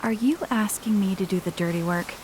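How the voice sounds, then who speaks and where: A woman's voice: mid-range voice, a little fast, darkish tone, slight background noise, lightly acted, low-quality recording. An actress playing a guarded, wry young woman thief in a video game. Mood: dry, wry.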